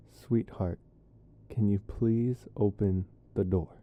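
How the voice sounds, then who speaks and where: A man playing a young vampire boyfriend locked out, coaxing in a halting, wry way, muffled as if behind a door. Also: on the low side, steady tone. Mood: pleading, exasperated. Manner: halting, coaxing, wryly playful. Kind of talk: ASMR boyfriend roleplay. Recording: muffled narrow-band, as through a door.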